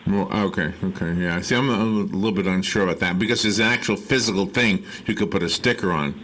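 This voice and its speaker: A man's voice: sits low, fast talker, fairly bright tone. An American man hosting a casual radio chat, questioning and thinking aloud as he talks. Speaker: radio host. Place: radio studio. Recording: faint background noise.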